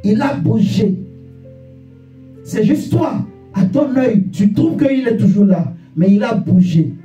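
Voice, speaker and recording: A man's voice: sits high, lively intonation, takes it slowly, pauses often, dark tone. A man preaching a sermon in an emphatic, exhorting manner, repeating phrases and putting rhetorical questions to his listeners. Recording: echoey room, distant mic, faint music.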